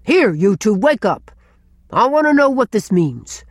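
A woman voicing a big, gruff, angry man with a harsh tone in an audiobook story. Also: on the high side, very animated, a little slow, no room sound, studio quality. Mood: angry, demanding.

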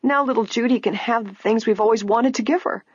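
A woman voicing a gentle, grateful woman in a story reading, with soft, breathless wonder. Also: fairly high pitch, a little fast, breathy edge, no room sound, highs cut off, voice pushed up. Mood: grateful relief. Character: grateful, gentle woman heirs, overwhelmed with relief.

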